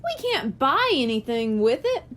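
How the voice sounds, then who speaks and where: A woman puts on a cheeky little first-grade boy for a children's storybook. The voice is very high and swoops around, blurting things out with outspoken curiosity. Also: big pitch swings, a little slow, fairly bright tone, exaggerated voice. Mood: cheeky protest. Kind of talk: children's audiobook character reading.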